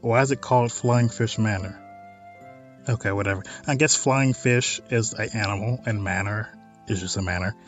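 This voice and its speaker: A man's voice: lowish voice, normal speed, soft background music. A man hosting a let's play of a visual novel, reading text aloud and adding informal, wry asides. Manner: informal, wry side comments on what is read.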